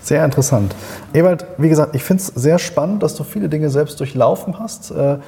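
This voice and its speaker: A man's voice: a little high, fairly quick, gravelly, mellow, in a large hall. A man hosting a podcast interview, framing long questions and affirming his guest with frequent murmurs of agreement.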